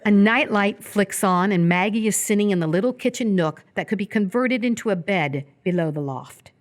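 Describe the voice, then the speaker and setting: A woman reading scripted stage directions as the narrator of a live radio play, in a descriptive, measured manner. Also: medium pitch.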